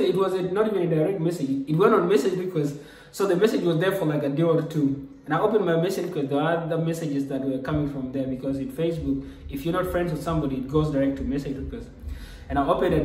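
A man's voice: a little high, distant mic. Young man, from South Sudan, casual vlog, rambling with fillers, echoey room. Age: young adult. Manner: relaxed, rambling, with frequent fillers. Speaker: vlogger. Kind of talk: casual storytelling to camera. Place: small room indoors. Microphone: camera at desk distance. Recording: echoey room, mic set back.

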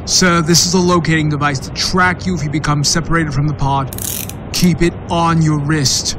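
A man playing a dutiful presidential security agent in an audio drama, brisk, urgent and deferential. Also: on the high side, steady tone, background noise, natural voice. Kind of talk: amateur audio drama acting. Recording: loud music and engine behind voice.

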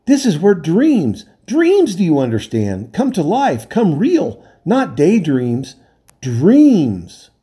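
A man's voice: on the high side, huge pitch swings, slow pace, mellow, voice pushed up, heavily acted. A man voicing a wild, haunted castaway man who gasps and pleads in frantic terror, in a storybook reading. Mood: raging.

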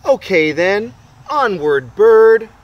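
Man acting a loud, bossy little boy. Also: high-pitched, sing-song, slow, lo-fi sound, voice pushed up, exaggerated voice. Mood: boastful, commanding. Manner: loud, emphatic proclaiming. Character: bossy, boastful little boy with grand plans.

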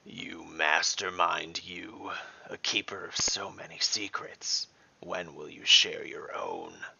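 A man voicing a sinister, taunting dragon with a hissing, breathy whisper, speaking slowly and deliberately in an audio story. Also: low-pitched, expressive, pushed and bright, highs cut off, heavily acted. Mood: menacing, contemptuous. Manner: slow, deliberate. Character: sinister taunting male dragon, menacing and mocking.

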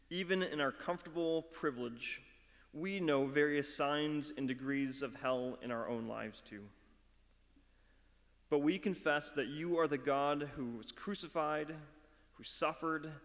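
Middle-aged male chapel leader, earnest and halting, a bit high, in a reverberant auditorium. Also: a bit bright. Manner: measured, earnest, halting with fillers. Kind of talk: chapel talk and spoken prayer. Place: dark auditorium stage at a lectern. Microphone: mic on the lectern. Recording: long hall reverb.

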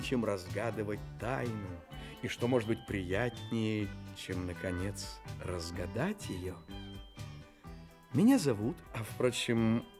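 An actor playing a courteous, mysterious storyteller in a children's radio play, theatrically addressing his listeners. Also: mid-range voice. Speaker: narrator, storyteller host. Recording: studio radio play with music.

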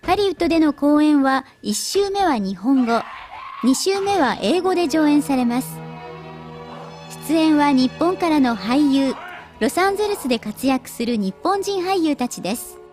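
A woman with a very high voice reads scripted television news. She articulates politely and clearly, with soft music faintly behind her. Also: animated, unhurried. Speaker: news presenter. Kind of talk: scripted news presentation and narration. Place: TV studio.

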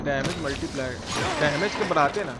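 A man's voice: on the high side, fairly quick, heavy background noise. A man with a Bihar and Purvanchal Hindi accent giving casual, playful live gaming commentary, full of colloquial exclamations and repeated phrases. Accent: eastern Hindi belt (Bihar and Purvanchal). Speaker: live game streamer. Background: video game music.

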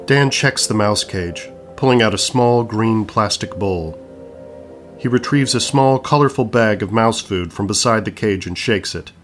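Lowish-voiced male narrator. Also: a little slow, over music. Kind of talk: scripted narration, reading stage directions.

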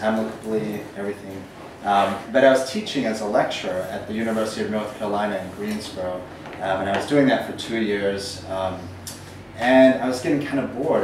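A man in his thirties from Northern Ireland with an American accent, telling travel stories in a rambling, um-filled lecture with self-deprecating humor. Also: on the low side. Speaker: poet giving a talk. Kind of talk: casual storytelling lecture. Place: small gallery room, indoors. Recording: echoey room from far off, faint noise.

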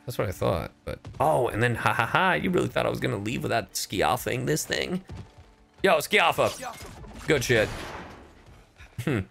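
A man's voice: medium pitch, very animated, normal speed, creaky, long pauses, fairly bright tone, faint music behind. A man doing casual, profane, jokey livestream commentary while he plays a game, sometimes reading aloud theatrically. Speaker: streamer, gamer.

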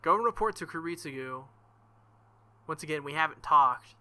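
A young man reading a visual novel aloud and commenting, animated and thinking out loud. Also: a little high, normal speed. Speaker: let's play host.

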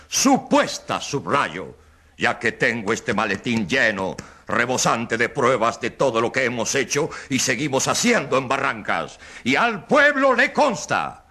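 A man's voice: medium pitch, bright, forceful tone, full character voice. A man playing a pompous, indignant government official, declaiming in demagogic fashion in a radio courtroom drama. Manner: bombastic, declamatory.

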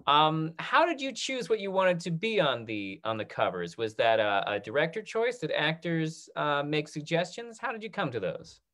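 A man's voice: a little high, very animated, normal speed, hardly any pauses, sharp tone. A man in his thirties casually hosting a question-and-answer panel over a video call. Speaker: panel host. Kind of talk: casual moderated Q&A. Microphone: video call microphone.

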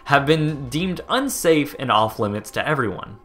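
A young American man hosting a casual documentary video, narrating over footage in a jokey, excitable way that stumbles over place names. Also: medium pitch, moderate pace. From United States.

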